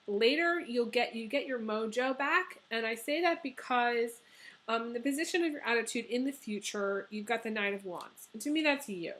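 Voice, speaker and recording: Young woman, tarot vlogger, a bit hoarse, loose and rambling delivery, mid-pitched. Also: a bit bright. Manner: chatty, rambling, many ums. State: hoarse, losing her voice. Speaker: tarot reader. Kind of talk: casual tarot reading vlog.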